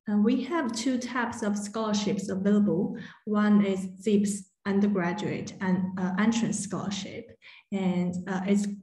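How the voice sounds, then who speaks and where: A woman presenting a university program in a webinar over a video call, pausing often with fillers. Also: medium pitch, warm tone, reverberant, poor audio. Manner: hesitant, many "uh" fillers. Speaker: admissions presenter.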